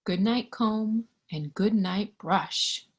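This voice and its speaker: A woman reading a picture book to young children in a gentle, singsong way, sometimes hushed, asking the listener simple questions with childlike wonder. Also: medium pitch, takes it slowly, breathy edge. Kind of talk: interactive children's read-aloud with questions to the listener.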